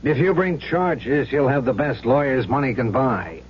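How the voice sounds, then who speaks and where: An actor playing a wise, cynical, experienced police sergeant in a radio drama, plain-spoken and practical. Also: male voice, mid-range voice, expressive, normal speed, a little hiss or hum, lightly acted. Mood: world-weary. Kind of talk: old-time radio drama acting. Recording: muffled old radio recording.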